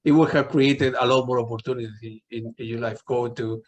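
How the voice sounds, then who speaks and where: A man's voice: mid-range voice, fairly even intonation, a little fast, a little hiss or hum. A man, interviewing a guest in a casual chat, asking long rambling questions with fillers. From Chile.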